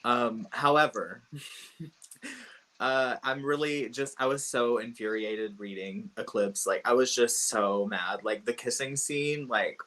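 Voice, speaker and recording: A woman's voice: extremely low pitch, slow pace, a bit bright. A woman co-hosting a casual book discussion, frank and opinionated, with short laughing asides. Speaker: podcast co-host.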